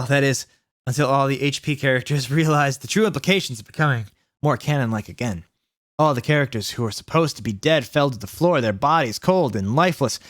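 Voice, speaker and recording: A man's voice: a little high, a bit bright, no room sound, studio quality. A man hosting a read-aloud of fan fiction, delivering it theatrically with exclaimed quotes and mocking asides of his own. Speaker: host reading fan fiction aloud as a challenge.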